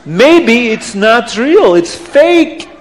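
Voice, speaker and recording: Man putting on a blustering, high-pitched angry rich seller. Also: big pitch swings, slow, exaggerated voice. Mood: amazed, suspicious. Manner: loud, blustering, broken English. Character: angry, greedy, boastful rich male seller Abdallah. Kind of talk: theatrical children's storytelling voice. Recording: long hall reverb.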